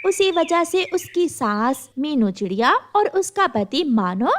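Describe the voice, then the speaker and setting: A woman's voice: high voice, very animated, takes it slowly, slight background noise. A woman narrating a scripted children's story as the storyteller.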